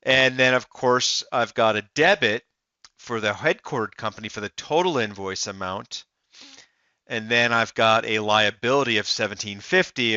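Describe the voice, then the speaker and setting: Bright, clear-voiced man demonstrating software. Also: fairly low pitch, no echo, dull audio. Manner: explanatory, step-by-step first-person walkthrough. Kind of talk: narrated software demo walkthrough.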